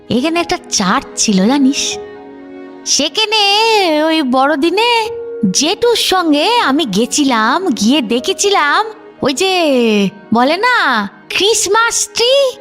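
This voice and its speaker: A woman's voice: high-pitched, fairly bright tone, heavily acted. A woman playing an elderly village grandmother in an audio drama, chatty and chiding, full of rhetorical questions. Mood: fond, reminiscing. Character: scolding, reminiscing elderly grandmother of a village household. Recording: music behind the voice.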